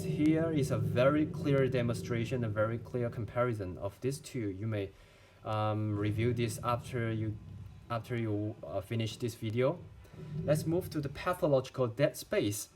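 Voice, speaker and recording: A man's voice: mid-range voice, noisy background. Chinese-accented young man explaining physiology. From China. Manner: careful, halting, asks and answers his own questions. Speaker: medical student. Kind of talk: lecture-style explainer video.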